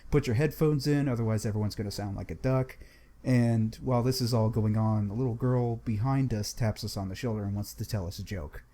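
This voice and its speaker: A man's voice: lowish voice, steady tone, a little fast, dark tone. A man in a casual group discussion, retelling a story conversationally as a panelist. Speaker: book club panelist.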